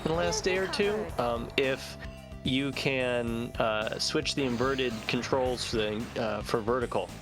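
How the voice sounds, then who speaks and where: A man's voice: mid-range voice, a little slow. A man chatting casually and explanatorily as a member of a game translation team, in a conversational way. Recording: music playing behind the voice. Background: music.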